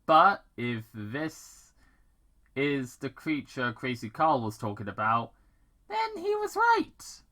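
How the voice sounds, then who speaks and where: Man reading as a sarcastic teenage girl, animated. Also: mid-range voice, slow pace, heavily acted. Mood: surprised, questioning. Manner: sarcastic, questioning. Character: sarcastic, curious teenage girl in a fan fiction.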